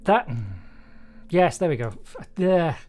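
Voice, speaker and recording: A writer livestreaming their work, thinking aloud casually in halting mutters with bursts of jokey, animated asides. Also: medium pitch, soft background music. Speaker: audio drama writer, livestream host. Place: indoors at a desk, livestreaming. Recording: clear keyboard typing and clicking noise. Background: computer keyboard typing, clicks.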